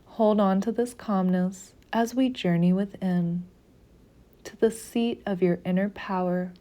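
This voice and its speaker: Slow, mellow woman guiding meditation. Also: medium pitch, steady tone. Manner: soothing, measured, with long pauses. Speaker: meditation guide. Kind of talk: slow guided meditation narration.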